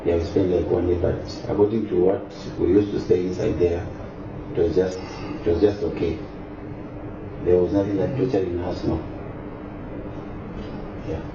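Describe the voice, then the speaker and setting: Deep-voiced man, halting and calm interviewee, recorded from a distance, noisy and muffled. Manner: hesitant, many fillers, calm. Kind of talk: casual interview answers. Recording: distant echoey lo-fi recording with noise.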